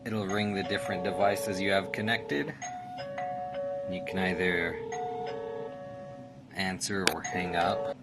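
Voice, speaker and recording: A man's voice: low voice, slow, long pauses, over loud music. A man giving a casual, matter-of-fact, step-by-step tutorial as a product reviewer. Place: indoors in a room. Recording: clear background noise, room ambience.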